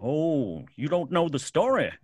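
Radio play caretaker: folksy, uneasy storyteller, animated pitch, stammering hesitation. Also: male voice, measured pace. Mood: ominous, folksy. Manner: hesitant, rustic, spooked. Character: folksy, uneasy graveyard caretaker telling legends. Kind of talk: old-time radio drama acting.

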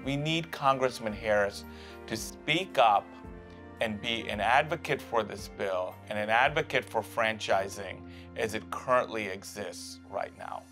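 A man's voice: on the low side, a little slow, pushed and bright, soft background music. A man who runs franchise hotels, speaking in a documentary interview.

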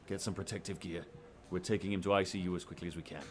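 A man's voice: low-pitched, fairly even intonation, fast talker, faint music behind, lightly acted. A man playing a weary, dry-witted hospital doctor in a naturalistic audio drama. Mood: urgent.

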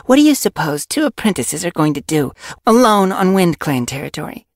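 Casting: level-headed, sharp-tongued young she-cat, voiced by a narrator, lifted pitch, dry and scolding, animal audiobook. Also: expressive, normal speed, no room sound. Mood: stern, angry.

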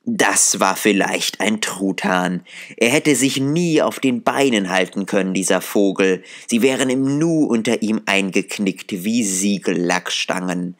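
A man narrating an audiobook in a calm, measured storytelling voice. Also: on the low side. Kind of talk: scripted narration.

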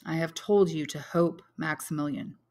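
A solemn, commanding count, as a woman reader voices him, slow and measured, persuading with quiet authority. The voice sits lowish for a woman. It stays steady and grave.

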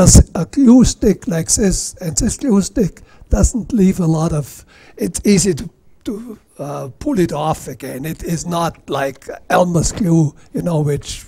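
A man's voice: on the high side, vocal fry, mellow, husky. Austrian man demonstrating woodturning craft. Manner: halting, self-deprecating, many restarts. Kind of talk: casual workshop demonstration. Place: club meeting room.

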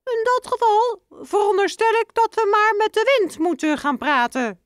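Squeaky storybook father mouse, earnest and fussy, in a dry close read; a woman voicing him. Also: normal speed, no room sound. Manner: squeaks "piep" between phrases.

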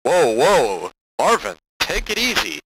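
A man's voice: a little high, wildly swooping pitch, slow pace, background noise, heavily acted. A man playing a level-headed young cartoon hedgehog who hosts an amateur audio drama, casual and slangy. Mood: calming. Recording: loud music behind the voice.

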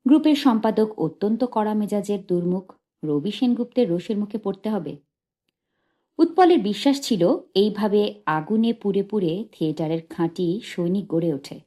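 Soft, mellow-voiced woman narrating a memoir. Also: mid-range voice, expressive, moderate pace. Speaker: audiobook narrator.

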